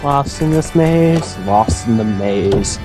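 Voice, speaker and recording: A man's voice: on the low side, expressive, slow. A man casually bantering as a gameplay co-host, with playful, goofy interjections. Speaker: let's play co-host. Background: game music.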